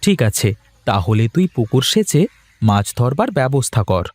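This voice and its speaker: A man's voice: medium pitch, sing-song, moderate pace, a little mellow, no room sound. A man voicing a bossy, grumbling but doting village husband in a cartoon. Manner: bossy, emphatic. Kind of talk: cartoon voice acting.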